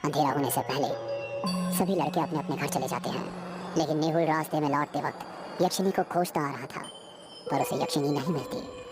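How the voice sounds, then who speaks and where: A woman with a low-set voice narrates a scripted horror story. She reads as the storyteller, and music plays clearly behind her. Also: fairly quick.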